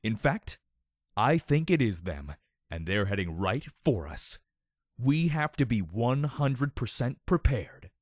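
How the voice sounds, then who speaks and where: A man's voice: medium pitch, very animated, slow pace, no room sound, clean studio sound. Man playing a serious, dutiful female captain. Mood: urgent, serious. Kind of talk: storybook reading.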